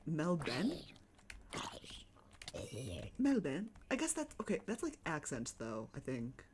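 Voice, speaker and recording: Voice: mid-range voice, wildly swooping pitch, takes it slowly, background noise. A streamer with a Chicago accent, chatting casually and rambling while playing a game at home. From United States. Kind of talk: casual live-stream chat while gaming.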